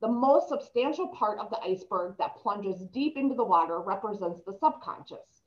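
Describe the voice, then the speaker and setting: A woman's voice: medium pitch, animated, moderate pace, dull audio. A young woman with a slight lisp reads an article aloud from slides on a video call, stumbling now and then. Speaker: presenter reading an article aloud. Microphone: laptop mic on a video call.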